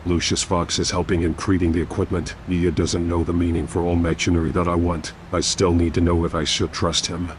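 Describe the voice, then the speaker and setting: A man playing a grim, resolute masked vigilante, narrating a journal in terse, deadpan sentences. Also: low-pitched, brisk, few pauses, slight background noise, lightly acted. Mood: terse, matter-of-fact. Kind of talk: first-person journal narration.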